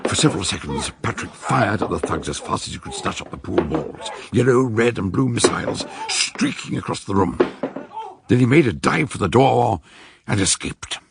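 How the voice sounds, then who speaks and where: A man's voice: on the low side, animated, normal speed, vocal fry, very noisy. A man narrating a scripted detective story in a dramatic style.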